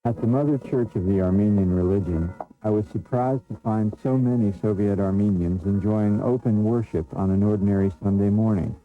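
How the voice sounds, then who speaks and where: A man giving scripted first-person documentary narration in a measured, reflective way. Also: on the low side. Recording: narrow lo-fi sound with faint music. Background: faint music.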